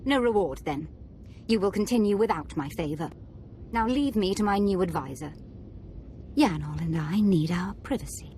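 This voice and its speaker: A woman's voice: medium pitch, expressive, normal speed, dark tone, a little hiss or hum, lightly acted. Woman acting a capricious, swooping young villainess. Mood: cold, dismissive. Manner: imperious, playfully menacing. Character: capricious, sadistic young noblewoman of a dark sorcerer order. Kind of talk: video game cutscene acting.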